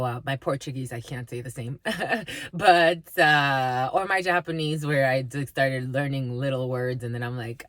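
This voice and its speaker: Very low-voiced woman giving a spiritual reading. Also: a bit bright, no room sound, clean studio sound. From Dominican family, Spanish first language. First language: Spanish. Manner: halting, repetitive, many fillers. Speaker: spiritual reader. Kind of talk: intuitive reading to viewers.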